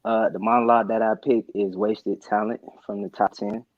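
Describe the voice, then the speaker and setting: A man introduces himself casually at an audition as a newcomer. His voice is low and very even in pitch, coming through a somewhat muffled, lo-fi connection. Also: moderate pace, no echo. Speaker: first-time auditioning performer. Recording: slightly dull, lo-fi call audio.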